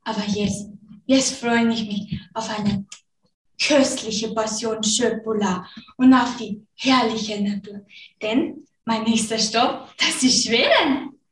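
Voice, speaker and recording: Girl as a high, slow, chirpy virus speaking broken German, heard from far off in a roomy room. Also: a little hiss or hum. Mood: cheerful. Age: teens. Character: cheerful foreign-sounding virus touring the world. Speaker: student performer. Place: large school classroom. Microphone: far camera. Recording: roomy, distant classroom recording.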